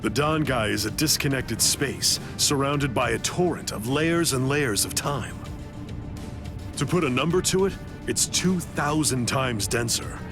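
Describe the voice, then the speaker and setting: An actor playing a grave, knowing warrior mentor in an anime game, explaining seriously and with command. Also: male voice, mid-range voice, animated, unhurried, music in the background. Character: grave, knowing Soul Reaper mentor to a young fighter. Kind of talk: English anime game voice acting.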